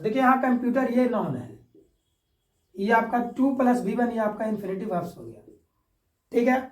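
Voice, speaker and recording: A man's voice: very high-pitched, normal speed. A man teaching a grammar lesson step by step, repeating points and checking for understanding with short tag questions. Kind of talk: classroom-style grammar lesson, explaining step by step. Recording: echoey room, distant microphone.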